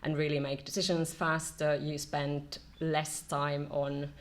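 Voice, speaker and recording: A middle-aged woman from Finland, a company director being interviewed, speaking in a measured way with many "um"s between phrases. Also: sits low, level tone. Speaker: marketing and e-commerce director, guest. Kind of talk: casual interview.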